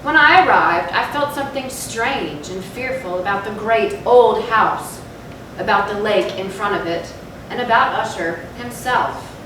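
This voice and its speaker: A woman giving a measured, solemn dramatic narration of a gothic story in a stage reading. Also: medium pitch, animated, lightly acted. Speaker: narrator in a readers' theatre. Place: live theatre stage, recorded from afar. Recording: very echoey, distant, noisy hall.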